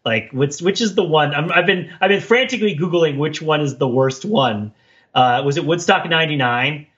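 A man's voice: a little high, moderate pace, fairly bright tone, dull audio. A man co-hosting a podcast in casual analytical discussion, talking discursively and often checking in with "right?". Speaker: podcast co-host.

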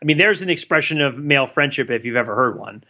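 A man co-hosting a podcast, thinking out loud in casual analytical talk, rambling with restarts and laughs. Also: medium pitch, lively intonation, fast talker. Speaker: podcast co-host. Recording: dull lo-fi sound.

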